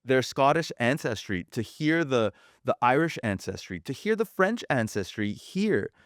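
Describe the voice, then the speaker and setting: A man's voice: mid-range voice, very animated, moderate pace, no echo, clean studio sound. A man from Quebec co-hosting a podcast, chatting casually and animatedly, switching between English and French. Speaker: podcast co-host. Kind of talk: casual bilingual chat.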